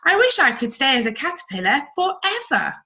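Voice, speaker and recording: A woman's voice: sits high, wildly swooping pitch, fairly quick, bright, forceful tone, muffled recording, pitched above the performer's own voice, exaggerated voice. A woman voicing a cautious, reluctant young female caterpillar in a children's storybook, sweet, hesitant and a little wistful. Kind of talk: children's storybook read-aloud.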